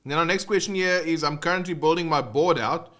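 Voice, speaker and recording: A man's voice: fairly high pitch, fairly quick, fairly bright tone. A man with a South African accent, teaching guitar in a casual, rambling livestream with many fillers and restarts.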